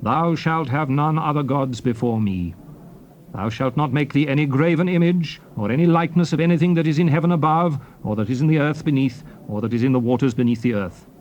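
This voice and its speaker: An actor playing Moses as a grave, commanding old prophet in a dramatized scripture reading, declaiming with emphatic, swelling phrases. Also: male voice, fairly high pitch, fairly quick, darkish tone, muffled recording, lightly acted. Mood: stern, commanding. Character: Moses, solemn commanding old prophet exhorting his people. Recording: faint background hiss on old cassette tape.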